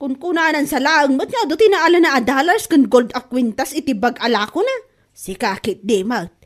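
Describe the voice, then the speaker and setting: A woman playing a quarrelsome, gullible, indignant woman in a comedy audio drama, with an Ilocano accent and drawn-out exasperated delivery. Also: high voice, animated. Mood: aggrieved, complaining. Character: quarrelsome, gullible woman accusing a bag seller of lying. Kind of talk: Ilocano comedy radio-style drama acting.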